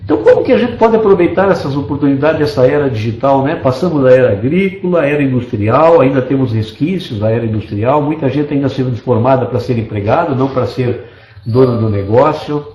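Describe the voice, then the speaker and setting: A man from Brazil runs an online business meeting with keen, persuasive energy and long spoken lists. His voice is mellow and of middle pitch. It comes through a desktop microphone, muffled and echoey. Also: lively intonation, a little fast. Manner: enthusiastic, persuasive, repetitive lists. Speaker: network marketing presenter. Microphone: desktop computer mic.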